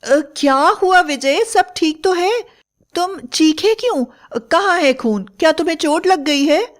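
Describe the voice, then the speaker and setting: A woman's voice: extremely high pitch, lively intonation, unhurried, pitched above the performer's own voice. A woman voicing a coaxing, affectionate young wife with an eerie secret, in a storybook tale. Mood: alarmed concern. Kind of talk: dramatized Hindi storybook acting.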